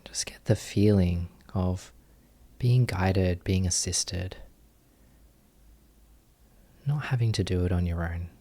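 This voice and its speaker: Slow, low-voiced man guiding meditation. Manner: gentle, hushed, with long pauses between short phrases. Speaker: meditation teacher. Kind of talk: guided meditation.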